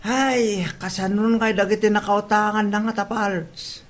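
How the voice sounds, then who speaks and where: A man's voice: sits high, level tone, measured pace. A man playing a nosy, gossipy Ilocano neighbour in a comedy audio drama. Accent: Filipino, Ilocano.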